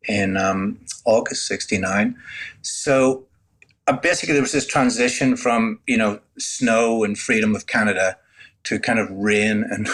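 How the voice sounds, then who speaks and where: Sixties man, podcast guest, candid storytelling, chatty, mid-pitched voice. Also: a bit bright. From Northern Ireland. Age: 60s. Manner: conversational, many "you know" fillers.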